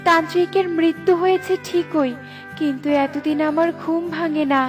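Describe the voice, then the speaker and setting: A woman's voice: extremely high pitch, fairly even intonation, moderate pace, far from the mic, soft background music. A woman voicing a solemn, benevolent magic tree in a cartoon, protective of the poor and stern to the greedy. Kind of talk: cartoon voice acting.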